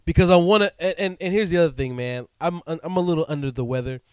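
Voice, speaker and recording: A man's voice: a little high, quick pace, clean tone, no room sound. A male podcast host and DJ with a stuffed-up nose, talking casually and loosely with lots of fillers in a studio. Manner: relaxed and chatty, frequent "um" and "man". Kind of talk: casual solo podcast talk.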